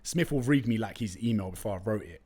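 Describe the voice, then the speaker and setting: A man's voice: on the low side, quick pace, slightly rough. A young man from London with a London accent, a native Turkish speaker, chatting casually and slangily as a solo podcast host on a close studio mic. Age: young adult. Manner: chatty, slangy, animated storytelling. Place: room with brick walls, indoors. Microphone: close studio condenser mic with pop filter.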